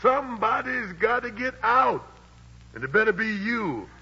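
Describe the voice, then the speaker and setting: A man's voice: high-pitched, big pitch swings, a little slow, vocal fry, sharp tone, slightly rough, exaggerated voice. An actor in a 1940s radio drama playing a bullying army sergeant who mocks his rival. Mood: mocking. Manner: mocking, swaggering. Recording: muffled 1940s radio broadcast with reverb.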